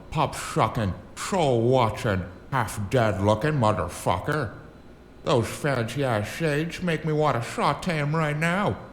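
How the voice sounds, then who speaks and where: A man's voice: mid-range voice, echoey room, a little hiss or hum. A man playing a swaggering, mocking gang thug who talks in cocky street slang in a cyberpunk audio drama.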